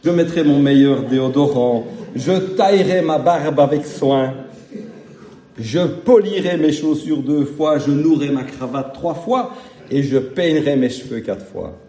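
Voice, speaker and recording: A man preaching to his congregation, his voice deeply dark and mellow. He mixes homely jokes with earnest repetition, and the sound carries an echo from the room. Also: measured pace. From Belgium. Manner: folksy, humorous, emphatic repetition. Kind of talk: sermon.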